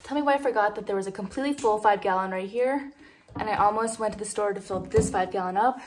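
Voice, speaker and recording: A woman's voice: medium pitch, quick pace, slight background noise. Chatty young woman vlogging. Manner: chatty, filler-heavy, talks to the viewer. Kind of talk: casual vlog narration.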